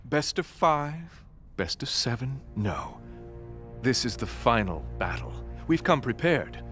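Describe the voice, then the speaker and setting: An actor playing a smug, menacing male villain, deliberate and theatrical, in an animated video game. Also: medium pitch. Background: music.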